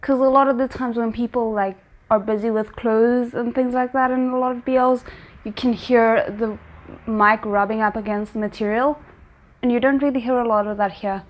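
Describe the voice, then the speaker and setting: High-pitched woman reacting casually. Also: moderate pace. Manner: informal, expressive, thinking aloud. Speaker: reaction video host. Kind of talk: casual reaction commentary on a TV series.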